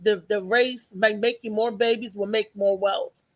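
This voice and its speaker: A woman's voice: mid-range voice, steady tone, moderate pace, a loud crowd. A woman in a casual debate on a talk show panel, interjecting and challenging.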